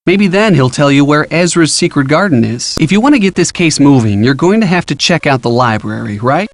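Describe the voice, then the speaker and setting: An upbeat young American man coaches a detective through an adventure game. His voice sits a little high and moves in a lively way, casual and encouraging, with playful asides. The sound is close and dry. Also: a little fast, no room sound. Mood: helpful, casual. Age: young adult. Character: helpful upbeat college-age boyfriend giving detective hints. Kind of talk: scripted game hint dialogue.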